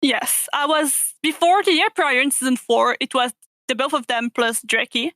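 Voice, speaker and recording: A woman's voice: high-pitched, moderate pace, bright, forceful tone, no room sound. A woman from France with a French accent, speaking English as a second language, chatting hesitantly as a podcast guest with many fillers. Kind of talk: casual interview chat.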